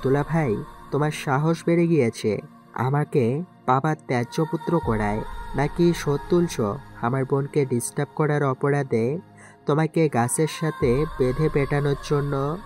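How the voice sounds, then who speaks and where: An actor playing a wounded, pleading young outcast son in an audio story, earnest and plaintive. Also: male voice, on the high side, moderate pace, a little mellow, soft background music, lightly acted. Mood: wry, quiet defiance. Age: young adult. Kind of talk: dramatized audio story acting.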